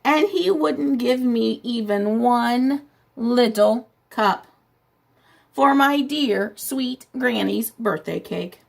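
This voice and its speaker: A woman's voice: on the high side, lively intonation, takes it slowly, vocal fry, exaggerated voice. A woman voicing a wronged, indignant storybook wolf who tells his side in a chummy, dramatic way.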